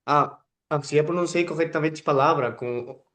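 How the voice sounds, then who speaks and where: A man whose first language is Spanish hosts an interview in Portuguese, speaking haltingly with many filler sounds and restarts. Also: fairly high pitch, a bit bright. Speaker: interview host.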